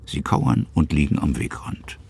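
A man with a gravelly, husky voice narrating a literary radio drama in short, weighty, dramatic phrases. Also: rumbling low, big pitch swings, measured pace, a little mellow, exaggerated voice.

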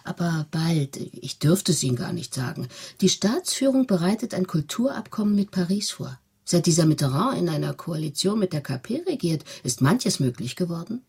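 An actress in a radio drama playing a haughty, brusque receptionist at an official society, with a rough, husky voice. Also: female voice, fairly low pitch, sing-song, moderate pace, hardly any pauses, mellow, heavily acted. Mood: confiding. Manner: snooty, brusque, condescending.

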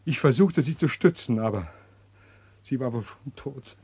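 An actor playing a scheming, self-pitying husband who recounts his crime in a German radio play, deliberate and theatrical. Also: medium pitch, expressive, mellow, lightly acted. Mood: earnest, agitated.

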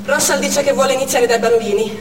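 A woman's voice: high voice, steady tone, quick pace, lightly acted. An actress playing an anxious, protective hostage mother who works as a medic, in dramatic present-tense stage narration. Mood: oppressed, tense. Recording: echoey theatre, far mic, noisy.

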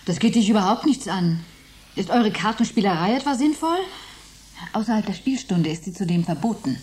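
An actress playing a touchy, worried middle-aged wife and former stage performer, theatrical and reproachful, in a German science fiction radio play. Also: female voice, mid-range voice, lively intonation, a little fast, a little mellow, close to an everyday voice. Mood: indignant.

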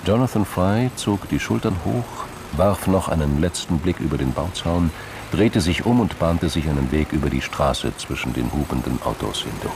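A man's voice: rumbling low, animated, normal speed, creaky, background noise. A man reading scripted narration for a radio drama, with vehicle sounds and ambience behind him.

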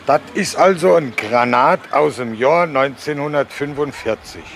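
An actor playing an earnest local man who explains things in simple, deliberate phrases in a naturalistic radio play. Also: fairly high pitch, a bit bright, a little hiss or hum.

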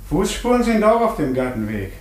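An actor playing an inquisitive, businesslike police inspector in a 1950s German radio play. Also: male voice, high-pitched, big pitch swings, fairly quick. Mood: brisk, probing. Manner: businesslike questioning. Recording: echoey vintage 1950s radio recording.

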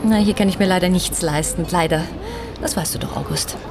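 An actress playing a composed, courteous and probing widow in a radio crime drama, speaking naturally with slight hesitations. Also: female voice, lowish voice, a little fast, noisy background, close to an everyday voice. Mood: polite, guarded. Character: composed, polite, probing widow, guarded and well-mannered.

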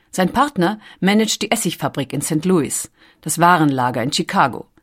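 Lowish-voiced woman narrating documentary. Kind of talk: scripted documentary audiobook narration.

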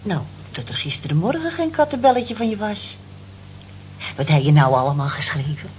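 A woman's voice: lowish voice, moderate pace. An actress playing a nosy, teasing female friend who chides and pries expressively in a radio drama. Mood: prying, teasing. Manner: prying, expressive, chiding. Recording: dull radio recording, faint noise.

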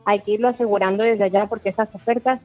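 A woman's voice: medium pitch, steady tone, fast talker, faint music behind. Ecuadorian female travel agent on a phone line, conversational. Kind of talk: phone-in radio interview.

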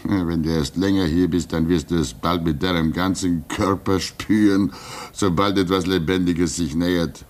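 An actor playing a calm, fatherly older man at a polar station, speaking gently and cautiously. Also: deep voice, moderate pace, close to an everyday voice. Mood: soothing. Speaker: radio drama actor.

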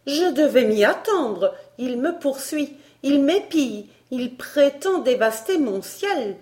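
A woman's voice: a little high, expressive, unhurried, a little mellow, pitched above the performer's own voice. A woman voicing a sour, indignant, paranoid governess in a storybook, with a theatrical, scolding manner.

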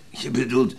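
A man acting in a radio drama as an injured, stubborn craftsman with dry humour and a pained, creaky voice. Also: mid-range voice, heavily acted. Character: injured craftsman restorer, stubborn, wryly humorous.

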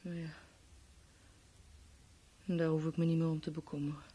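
A woman's voice: sits low, little pitch movement, moderate pace, dark tone, slightly rough. A woman acting in a radio play as a weary, fearful, brooding survivor of a world war's collapse. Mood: resigned, drained.

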